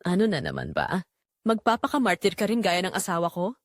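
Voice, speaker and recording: A woman's voice: fairly low pitch, wildly swooping pitch, brisk, no echo, studio quality. A woman with a Filipino accent voicing a defiant, proud mistress in a story, brazen and defensive. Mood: defensive, irritated.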